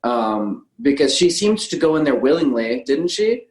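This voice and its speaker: A man's voice: medium pitch, big pitch swings, normal speed. A man in a casual film discussion as podcast co-host, talking tentatively and correcting himself as he goes. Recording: roomy, echoey sound.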